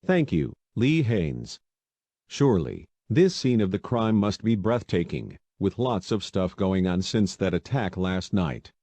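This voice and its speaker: A man's voice: on the low side, big pitch swings, unhurried, warm tone, no echo. A man playing a local TV news anchor, reading a scripted, serious breaking-news bulletin. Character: male local TV news anchor reporting an attack.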